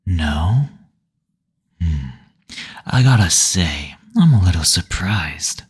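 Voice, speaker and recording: A man's voice: low voice, lively intonation, heavily acted. A man playing a suave, courtly demon receptionist who teases seductively in an intimate audio roleplay. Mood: surprised, intrigued. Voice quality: breathy, husky, near-whispered. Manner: slow, coy teasing with endearments and drawn-out hums.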